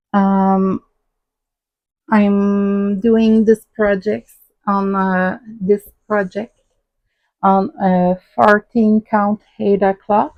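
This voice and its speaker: A woman with a Québécois French accent, hosting a casual crafting vlog and talking in a halting way with many ums. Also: mid-range voice, gravelly, lo-fi sound. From Quebec, Canada. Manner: halting, many ums and uhs, soft-spoken. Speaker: crafting channel host.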